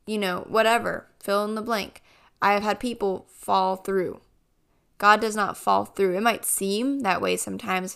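A woman hosting a casual devotional podcast, her voice a bit raspy as she gets over a cold, speaking in an earnest, reflective way. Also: mid-range voice, fairly bright tone. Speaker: podcast host.

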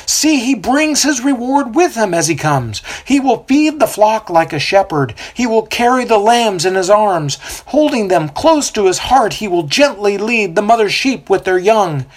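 Booming coarse male prophet, fierce and commanding, high and loud, breathy edge, put on by a storybook narrator. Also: very animated, a little slow, few pauses. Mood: proclaiming. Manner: preaching, proclaiming loudly.

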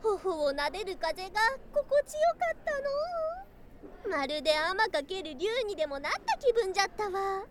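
A woman voicing a doting, excitable young lamia bride in an ASMR voice drama, speaking in archaic, old-fashioned phrases with girlish excitement. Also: extremely high pitch, normal speed, very noisy. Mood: playful, curious. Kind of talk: ASMR situation voice drama, addressed to the listener.

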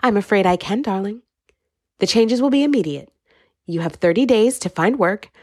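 A woman's voice: mid-range voice, animated, normal speed, darkish tone, dry recording, voice pushed up, natural voice. A woman reading an audiobook, voicing a wealthy, middle-aged mother who speaks in a cool, steely, dry way. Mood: stern.

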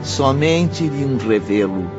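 Male narrator, mid-pitched, lively and dramatic intonation, scripted radio play over prominent music. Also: slow, highs cut off.

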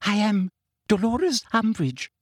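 A man voicing a pompous, officious woman government official in a shrill, high-pitched voice for a storybook. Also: big pitch swings, normal speed, vocal fry, dry recording, studio quality, exaggerated voice. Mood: terrified pomposity.

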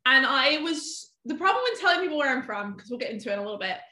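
Bright-voiced chatty woman, echoey room. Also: high voice, animated, very fast. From half American, half English. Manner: chatty, rambling, heavy use of "like". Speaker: guest photographer. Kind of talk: casual podcast chat.

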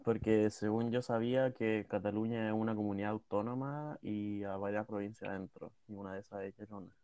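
A man's voice: fairly low pitch, fairly even intonation, a little fast, dark tone, clear, resonant tone, dry recording, dull audio. A young man in a loose, joking chat with a friend. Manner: loose and joking, laughs often. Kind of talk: casual banter with a friend.

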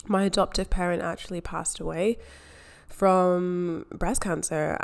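Young Scottish woman, podcast host, candid and chatty, lower-pitched. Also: a little mellow. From Scotland. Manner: chatty and candid, self-deprecating asides. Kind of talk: casual solo podcast monologue.

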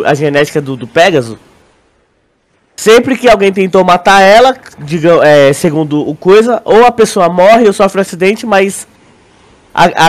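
A man with a Brazilian accent in a casual podcast discussion, explaining in a halting way, repeating words and often checking that his listener agrees. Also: high voice. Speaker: podcast co-host.

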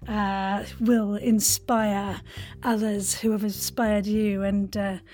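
A woman's voice: mid-range voice, level tone, takes it slowly, darkish tone. A woman hosting a chatty interview podcast, effusive and encouraging in how she talks. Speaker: podcast host interviewing a guest.

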